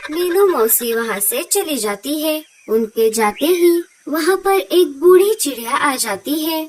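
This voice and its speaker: A woman's voice: sits high, expressive, measured pace. A woman reading scripted storyteller narration for a children's cartoon. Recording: loud children's music behind the voice.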